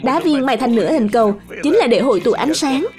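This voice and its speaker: Cartoon father, confident explainer, high register, voiced by a woman, music bed. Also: animated, fast. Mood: explaining. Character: earnest, confident cartoon father who likes explaining science. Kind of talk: children's cartoon dub.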